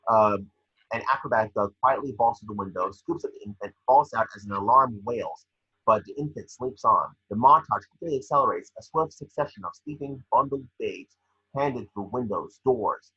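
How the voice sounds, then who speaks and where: Male table-read narrator, bright but very husky, scripted stage directions, slightly muffled home recording. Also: medium pitch, normal speed. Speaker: scene description reader.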